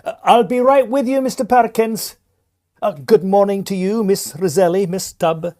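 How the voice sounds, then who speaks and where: A man's voice: sits high, moderate pace, mellow, close to an everyday voice. A man playing a cheerful, folksy, reassuring Victorian train conductor in a farcical audio drama. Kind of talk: audio drama farce acting.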